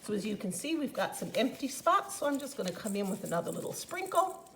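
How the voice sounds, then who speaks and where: Woman, Newfoundland accent, lively sing-song voice, upbeat cooking demo chat, home kitchen. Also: a little high, fast, slight background noise. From Newfoundland, Canada. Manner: chatty, upbeat, enthusiastic about flavor. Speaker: cooking product consultant.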